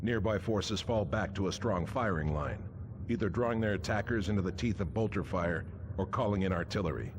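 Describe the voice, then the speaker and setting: An actor playing a stern, disciplined space marine commander in a video game, authoritative in manner. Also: male voice, deep voice, close to an everyday voice. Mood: measured briefing. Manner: authoritative, measured. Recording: noisy battlefield ambience behind.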